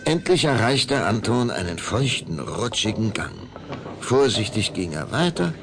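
Very deep, gravelly male storyteller. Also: sing-song. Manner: suspenseful, measured. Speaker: narrator. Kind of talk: dramatic scripted storytelling for children's audio drama. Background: clear background music.

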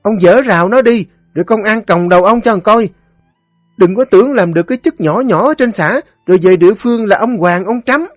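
Man putting on a nagging Southern Vietnamese wife, high-pitched. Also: very animated, fast, few pauses, slightly rough, no echo, clean studio sound, soft background music. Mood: exasperated, scolding. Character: scolding, fearless middle-aged village wife, exasperated.

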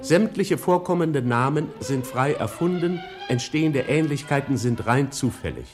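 A German man announces in formal 1950s radio style. His mid-pitched voice is emphatic, set against clear music in an old archive recording. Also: lively intonation, moderate pace.

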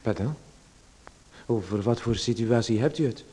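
An actor in a radio play voicing a timid young artist who hesitates, stammers and apologizes. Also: male voice, medium pitch, moderate pace, warm tone, breathy edge, lightly acted. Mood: nervous.

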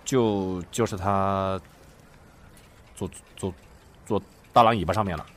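A man's voice: low-pitched, steady tone, takes it slowly, fairly bright tone. A man playing a meek, flustered boyfriend in an audio drama, hesitant, stammering and apologetic. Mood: nervous. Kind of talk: Chinese audio drama acting.

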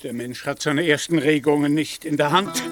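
Actor as grandiose knight-errant, high-pitched male voice. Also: moderate pace, no echo, soft background music, lightly acted. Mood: lofty, solemn. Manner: grandiose, solemn, declamatory. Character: Don Quijote, pompous deluded knight-errant, lofty and devoted. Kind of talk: German radio play acting.

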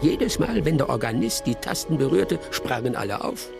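Unhurried village storyteller, animated melody, creaky voice, teasing, vivid, radio drama. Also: male voice, mid-range voice, music in the background. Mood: absorbed storytelling. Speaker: actor in a radio play.

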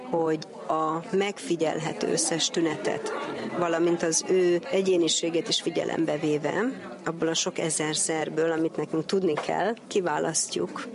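Female physician interviewee, earnest and persuasive, flat intonation, recorded at a busy venue with audible crowd. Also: low-pitched. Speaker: family doctor and homeopath, interview guest. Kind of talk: reflective interview answers. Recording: busy crowd chatter behind.

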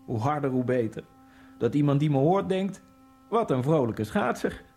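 An actor playing a nervous, wryly ironic Egyptian immigrant, narrating his thoughts in a hushed first-person monologue. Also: fairly high pitch, big pitch swings, moderate pace, faint music behind. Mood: brooding. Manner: hushed, brooding inner monologue.

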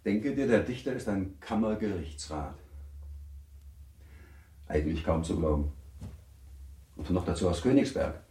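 An actor playing a much older baron in a radio play, a teasing, possessive husband with a measured, formal, gallant manner. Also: male voice, low-pitched, expressive, dark tone, reverberant. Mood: amused, gallant. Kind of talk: German radio play acting.

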